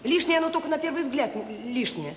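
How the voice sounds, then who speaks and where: An actress playing a warm-hearted, stubborn middle-aged director of a children's home, earnest and insistent, in a naturalistic radio drama. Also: female voice, sits high, big pitch swings, fairly quick. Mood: passionate, pleading. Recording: echoey reverberant radio play, faint noise.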